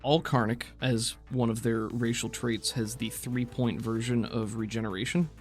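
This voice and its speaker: A game master narrating scenes and explaining rules in a measured, casual way. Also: mid-range voice, little pitch movement, soft background music.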